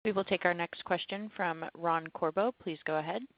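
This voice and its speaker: Lady call operator reading the script, lowish voice, dry muffled phone audio. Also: moderate pace, no room sound. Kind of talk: scripted formal announcement. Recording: dull, dry phone line.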